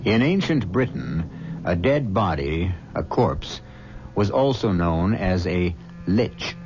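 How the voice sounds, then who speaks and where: A man hosting a 1970s radio drama, narrating between acts in a measured, wry way. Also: low voice, very animated. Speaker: host. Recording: dull 1970s radio broadcast with music.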